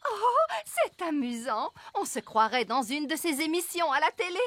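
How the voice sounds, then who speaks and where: A woman voicing a haughty, excitable fairy queen mother in a children's cartoon, exclamatory in manner. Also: high-pitched, moderate pace, over loud music. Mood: eager, amused.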